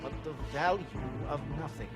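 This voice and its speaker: An actor playing a pompous, fussy sommelier in a video game, speaking in ornate, theatrical phrases. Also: male voice, fairly high pitch, normal speed, natural voice. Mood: wistful, aggrieved. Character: fussy, theatrical male wine connoisseur, exasperated and reverent. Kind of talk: dramatic video game acting. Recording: game soundtrack music behind. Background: loud music.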